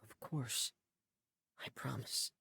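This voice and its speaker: A man playing a shy, earnest, soft-spoken teenage brother in an anime game, with a breathy voice. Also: takes it slowly, slight background noise. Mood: quiet, earnest.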